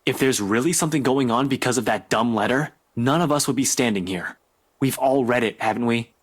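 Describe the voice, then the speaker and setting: An actor playing a stern, exasperated young police detective in a visual novel game, brusque and scolding, with a slightly husky voice. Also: male voice, mid-range voice, fairly quick, natural voice. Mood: fed up. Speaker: police investigator. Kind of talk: dramatic visual novel voice acting.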